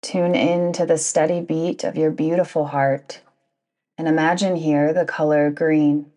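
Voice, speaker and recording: A woman guiding a yoga nidra meditation in a soothing, deliberate manner, with long pauses between phrases. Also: sits low, steady tone, dark tone. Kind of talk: guided meditation narration.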